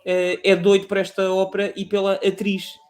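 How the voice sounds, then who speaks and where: A man with a European Portuguese accent talking casually about video games, animated and rambling, full of restarts and fillers. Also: high voice, normal speed. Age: adult. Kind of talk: casual gaming chat.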